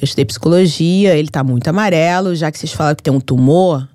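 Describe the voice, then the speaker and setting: Woman, Brazilian accent, lowish pitch, soft dark tone, earnest personal storytelling, dry close sound. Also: fast talker, dry recording. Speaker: guest telling a personal story.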